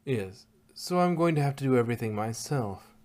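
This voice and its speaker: A man's voice: mid-range voice, expressive, normal speed, darkish tone, close to an everyday voice. A man voicing a refined, apologetic female pony, a caring older sister who speaks politely and carefully. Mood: regretful.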